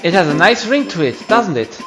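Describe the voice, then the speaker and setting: A man voicing a polite, knowing mentor creature in a game, explaining things in a measured, courteous way. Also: high-pitched, very animated. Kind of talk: amateur game character voicing. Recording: music behind the voice.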